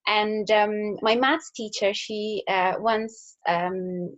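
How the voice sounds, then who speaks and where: A woman's voice: mid-range voice, steady tone, takes it slowly, dull audio. A young woman from Italy with an Italian accent, talking casually to school pupils, with fillers and restarts. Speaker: engineer. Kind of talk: recorded talk to school pupils.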